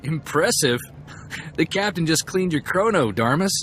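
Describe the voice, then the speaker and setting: An actor voicing a plain-spoken, teasing young sidekick in a video game, folksy and wry. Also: male voice, fairly high pitch, animated, normal speed, a bit bright, slight background noise.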